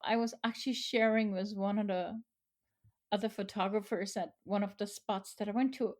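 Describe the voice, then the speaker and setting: A woman's voice: mid-range voice, steady tone, normal speed, darkish tone. A middle-aged woman from Austria, a photographer answering interview questions earnestly, with fillers and restarts. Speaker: photographer, guest.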